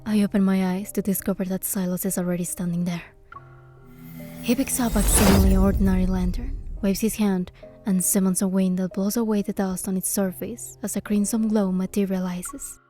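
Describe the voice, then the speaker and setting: A woman voicing a bold, curious young heroine, with expressive storytelling delivery in a fantasy game. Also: medium pitch, moderate pace, faint music behind, close to an everyday voice. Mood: narrating, hushed wonder. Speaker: fan dub voice actor and narrator. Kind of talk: scripted first-person fantasy game narration and dialogue.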